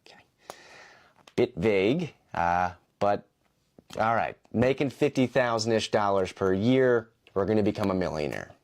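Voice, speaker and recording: A man's voice: on the low side, lively intonation, measured pace, darkish tone. A man giving dry, mock-earnest step-by-step commentary in a short video clip.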